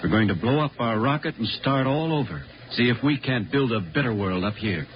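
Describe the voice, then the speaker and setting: Man playing gentle serious dad in a 50s radio play, medium pitch, dull old recording. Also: lively intonation, a little fast, lightly acted. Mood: grave. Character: calm, gentle, solemn father. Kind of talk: 1950s radio drama acting. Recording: dull 1950s radio recording, faint noise.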